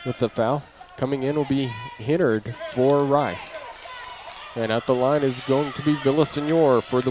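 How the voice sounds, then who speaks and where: A man calling live basketball play-by-play in clipped running phrases, following each move as it happens. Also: medium pitch. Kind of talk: live radio-style play-by-play commentary. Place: indoor basketball gym during a game. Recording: crowd and gym noise behind. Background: crowd, bouncing basketball.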